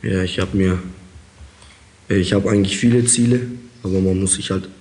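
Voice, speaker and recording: A man's voice: low voice, steady tone, normal speed, a little mellow. A young man from Kazakhstan answering interview questions as an inmate, hesitant with many fillers. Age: young adult.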